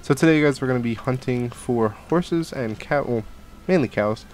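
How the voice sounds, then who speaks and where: A man's voice: mid-range voice, moderate pace, a little mellow, slight background noise. A young man with a cold, rambling through casual game commentary from a home gaming room, full of fillers and restarts. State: catching a cold. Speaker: gaming channel host. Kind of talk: casual Minecraft let's play commentary. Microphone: headset and studio mic at desk.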